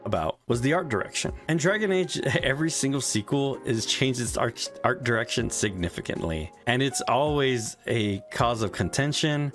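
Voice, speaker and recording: A young man talks through a game review in a loose, candid way, cursing freely as he makes his points. His voice sits at a middling pitch. Quiet music plays underneath. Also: animated, moderate pace, few pauses. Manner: frank, swears freely. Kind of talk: casual spoken video review.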